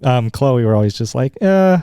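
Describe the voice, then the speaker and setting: A young man from Utah, a guest in a casual chat, easygoing and agreeable, often pausing with fillers. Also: mid-range voice, lively intonation, mellow, smooth, no echo, clean studio sound.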